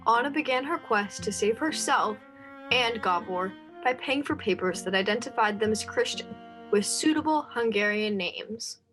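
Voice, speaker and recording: A woman's voice: on the high side, animated, normal speed, a bit bright, poor audio. A woman narrator delivering scripted dramatic storytelling. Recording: faint music underneath.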